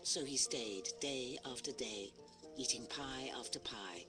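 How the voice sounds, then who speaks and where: Casting: man as dramatic fairy-tale narrator for a game, medium pitch, measured scripted storytelling over music. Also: close to an everyday voice. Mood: fond, storybook. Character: male storybook narrator of a heroic fable.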